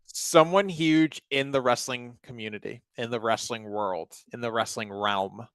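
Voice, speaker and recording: A man's voice: mid-range voice, measured pace, a bit bright, smooth, dry recording. A man co-hosting a podcast, playfully building up an announcement. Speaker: podcast co-host. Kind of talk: casual podcast banter. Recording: dry close mic.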